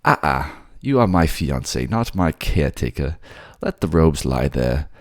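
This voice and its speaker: A man voicing a doting merman king in a romantic audio roleplay, courtly, gently teasing and indulgent. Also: a bass voice, sing-song, unhurried, dry recording, clean studio sound, exaggerated voice. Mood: cheerful, fond.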